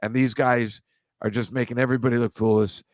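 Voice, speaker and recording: A man's voice: medium pitch, little pitch movement, brisk, no echo, clean studio sound. A man hosting a casual comedy chat, wry and rambling, often laughing at his own jokes. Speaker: host.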